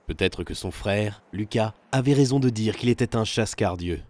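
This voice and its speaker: A man narrates a film in the third person with a fairly low voice. The recording is dry and free of room sound, and the delivery is that of a scripted storyteller. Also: moderate pace, darkish tone, no room sound.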